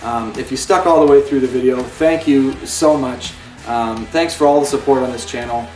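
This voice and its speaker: A man's voice: mid-range voice, normal speed, a little mellow, recorded from a distance. A man hosting his own channel, giving an earnest, impassioned spoken review of a video game. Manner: earnest and impassioned, with pleading appeals. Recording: roomy echo, away from the mic, faint music.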